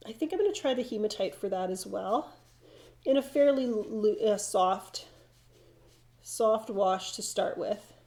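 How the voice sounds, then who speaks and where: A middle-aged woman with a slightly froggy, stuffed-up voice from hay fever, thinking aloud as she demonstrates watercolor painting. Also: mid-range voice. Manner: relaxed, thinking aloud while painting, frequent restarts. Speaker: watercolor instructor.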